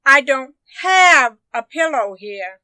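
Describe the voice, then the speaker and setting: A woman's voice: sits high, lively intonation, slow, very noisy. A woman voicing a small, polite boy in a children's storybook, raising hesitant, plaintive objections. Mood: meek, plaintive.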